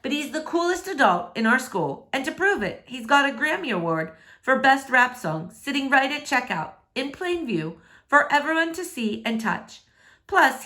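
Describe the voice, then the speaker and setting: Expressive woman reading a story aloud. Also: a little high. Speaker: reader of a novel in verse. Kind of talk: expressive read-aloud narration.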